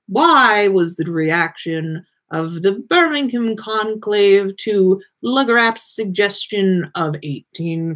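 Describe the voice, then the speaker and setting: A woman voicing a dull, absent-minded old male ghost professor in a storybook, speaking in a halting, formal, ponderous way. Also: mid-range voice, fairly bright tone.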